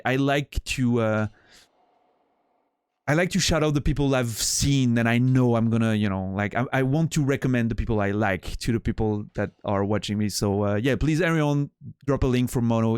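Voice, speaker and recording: A man's voice: mid-range voice, clean tone, no echo, studio quality. Profane Swiss French man, rambling. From Switzerland. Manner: rambling and profane, with frequent restarts. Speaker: streamer. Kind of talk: casual chat with chat viewers.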